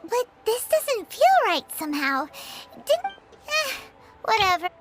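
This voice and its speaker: A woman voicing a tiny, excitable fairy guide in a video game, with a squeaky childlike voice and a chirpy, exaggerated manner. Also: takes it slowly, loud music behind the voice, exaggerated voice. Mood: queasy, doubtful. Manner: chirpy, exaggerated, third-person self-reference.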